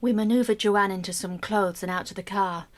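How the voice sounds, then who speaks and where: An actress playing an anxious middle-aged mother, telling her story in an expressive, wry first-person radio play monologue. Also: medium pitch, moderate pace, lightly acted. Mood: tense, alarmed. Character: anxious middle-aged mother recounting a troubled family holiday.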